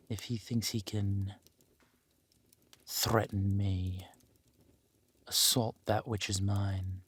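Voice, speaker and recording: A man playing a ruthless, vengeful warlord in an audio roleplay, speaking deliberately and with menace. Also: on the low side, husky, exaggerated voice. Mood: cold menace. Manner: slow, deliberate, menacing delivery. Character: ruthless villain warlord lord, vengeful, possessive lover. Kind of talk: dramatic villain roleplay acting.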